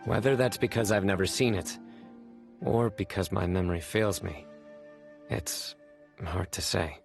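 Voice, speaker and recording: An actor playing a stern, reserved young lawman in a video game, deadpan, measured and formal. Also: low voice, creaky. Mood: grave, pensive. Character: stern, stoic young male law enforcer, reserved. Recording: game music behind.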